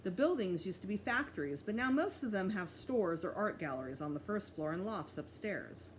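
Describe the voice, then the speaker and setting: A woman reading a children's story aloud in an expressive way, like a teacher at storytime. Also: mid-range voice, normal speed, slight background noise. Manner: expressive, acts out dialogue. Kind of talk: storybook read-aloud with character voices.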